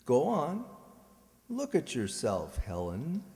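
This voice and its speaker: An actor playing a deranged murderous professor in a radio play, cold, menacing and deliberate. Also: male voice, fairly high pitch, huge pitch swings, dark tone, full character voice. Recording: echoey hall, long reverb.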